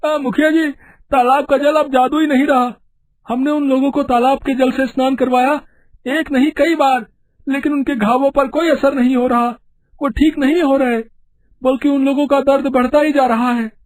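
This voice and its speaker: Woman voicing an earnest village man, very high-pitched. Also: steady tone, normal speed, a little mellow, close to an everyday voice, poor audio. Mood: alarmed. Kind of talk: animated storybook character acting.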